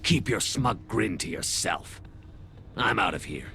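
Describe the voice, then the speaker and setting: Low, sneering alien soldier. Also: male voice, animated, measured pace, vocal fry, sharp tone, full character voice. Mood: sneering, suspicious. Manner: contemptuous, grudging. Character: bitter, sneering male alien soldier, sore loser. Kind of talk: video game voice acting. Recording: game music behind the voice.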